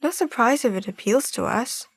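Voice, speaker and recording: A confident young singer played by a woman chats in a high voice, recorded dry and close. She is talkative and sure of herself, in the manner of audio drama dialogue. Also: lively intonation, normal speed, slightly rough, no echo. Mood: animated, chatty. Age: young adult.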